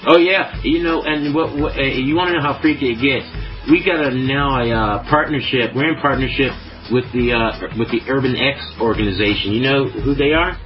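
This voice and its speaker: A man's voice: mid-range voice, normal speed, highs cut off, soft background music. A man guest on a podcast, talking in a laid-back, rambling way, full of swearing and fillers. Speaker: podcast guest, show promoter. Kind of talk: casual chat.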